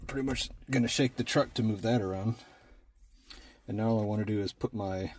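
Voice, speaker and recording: A man's voice: fairly low pitch, fairly quick, slight background noise. A man giving a casual, plain-spoken hands-on tutorial, talking through each step as he works. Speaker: vlogger doing a DIY truck install.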